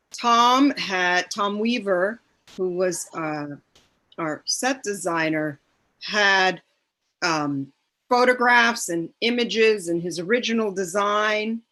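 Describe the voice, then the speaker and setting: Female actor, casual discussion; slow, pause-filled delivery, working out thoughts aloud. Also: mid-range voice, pushed and bright. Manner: halting, thinking aloud with frequent pauses. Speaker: actor in an audio play cast. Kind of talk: casual panel chat.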